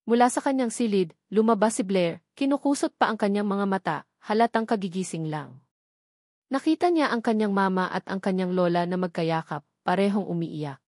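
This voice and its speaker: A woman's voice: mid-range voice, lively intonation, normal speed, a little mellow, no room sound. Woman narrating a dramatic romance, dry sound. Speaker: story narrator.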